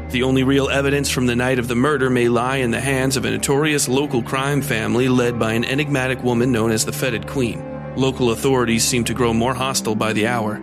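A man reading scripted horror and mystery narration as a storyteller, with music behind him. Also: mid-range voice. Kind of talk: scripted narration of dark fiction.

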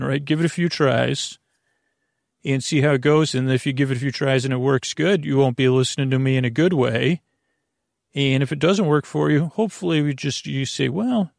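Man, podcast host, mid-pitched, creaky voice, halting and digressive, bedtime monologue. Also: no echo, studio quality.

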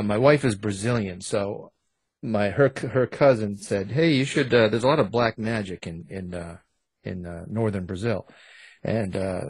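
Author guy on an interview, lowish voice, dry sound, casual n meandering, bit hesitant. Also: no echo. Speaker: interview guest, horror author. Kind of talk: casual conversational storytelling.

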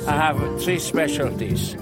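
An older man talks on a city street, proudly listing his credentials and circling back to repeat himself. His voice sits a bit high for a man. Loud street music plays right over him. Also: unhurried. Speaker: passerby. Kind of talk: street interview.

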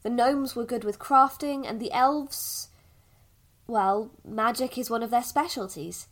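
A woman performs a gentle village mother in an audio drama. Her voice sits a little high, and she speaks with patient, coaxing care, turning hesitant when she explains the past. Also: a little slow. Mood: gentle, explaining.

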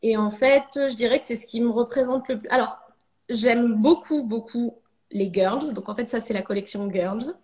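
A woman's voice: on the high side, normal speed, darkish tone. A French woman in her thirties, a ceramic artist, talking casually in a podcast interview, hesitant with many fillers and restarts. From France.

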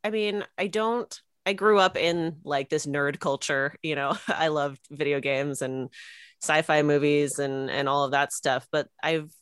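A woman in her thirties with a low voice, recorded dry and close. She is an interview guest who chats casually, in an informal, giggly way. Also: steady tone, a little slow, clean tone, no room sound. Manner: informal, giggly, punctuates talk with small laughs. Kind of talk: casual chat.

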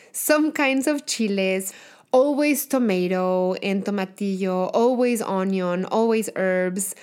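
A woman's voice: mid-range voice, darkish tone. A woman from Mexico with a Mexican accent, a guest speaking enthusiastically and expansively in a radio interview. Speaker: guest, cookbook author and TV cook.

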